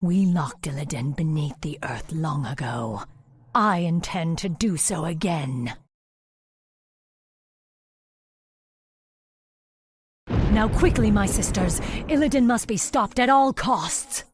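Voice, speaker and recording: A determined female elf commander from a fantasy game. Her voice sits fairly low and is breathy and husky, and she speaks formally, with grave authority. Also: animated, unhurried, heavily acted. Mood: resolute. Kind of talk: video game fantasy acting.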